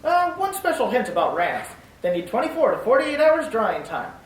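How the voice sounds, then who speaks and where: A woman announcing and reading a commercial in a bright, persuasive 1940s radio style. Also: on the high side, sing-song, moderate pace. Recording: distant, roomy old broadcast with hiss.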